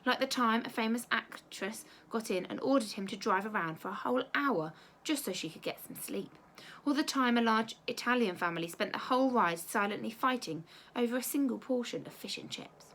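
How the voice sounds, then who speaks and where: A woman teacher reading a children's storybook aloud in an expressive, measured storytelling way. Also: a little high, a little hiss or hum.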